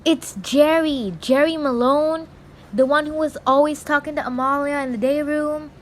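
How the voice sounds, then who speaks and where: Teen girl playing a blunt, high-voiced cynical factory girl. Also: fairly even intonation, normal speed, a little hiss or hum, natural voice. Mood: exasperated. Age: teenage high school student. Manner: dismissive, matter-of-fact. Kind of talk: radio drama acting.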